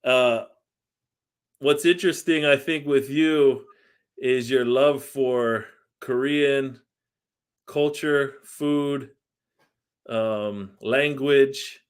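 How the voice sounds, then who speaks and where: A man's voice: medium pitch, fairly even intonation, very slow, pauses often, clean tone. A man hosting a podcast, chatting casually with a guest and laughing along as he reminisces. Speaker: podcast host. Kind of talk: casual interview chat.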